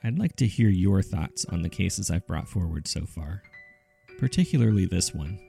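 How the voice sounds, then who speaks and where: A man hosting a story podcast, reading scripted mystery case files in a measured, serious storytelling manner. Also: low-pitched, lively intonation, vocal fry, warm tone, soft background music. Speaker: podcast host and narrator.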